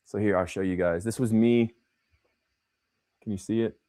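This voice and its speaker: A man's voice: fairly low pitch, normal speed. A young man hosting a casual livestream, talking in a loose, slangy way and calling people bro and man. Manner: animated, slangy, says bro and man a lot. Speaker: host.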